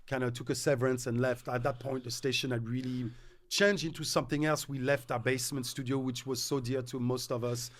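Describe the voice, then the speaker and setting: A man with a French accent chats casually as a podcast guest. He is middle-aged and talks in an animated way, often repeating and restarting his words. His voice sits at an ordinary pitch. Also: brisk. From France.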